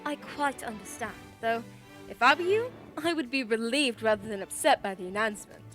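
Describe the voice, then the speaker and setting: A woman's voice: on the high side, animated, a little fast, a bit bright, faint music behind. A woman playing a confident, shrewd detective in a radio play, speaking in a formal, precise, theatrical way. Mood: assured, brisk. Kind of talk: stage-style radio play acting.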